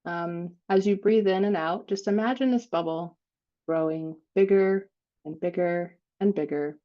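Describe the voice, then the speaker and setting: Slow, low-pitched woman guiding meditation. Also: lo-fi sound. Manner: slow, unhurried phrasing with long pauses. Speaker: meditation guide, host. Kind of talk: guided meditation, spiritual visualization.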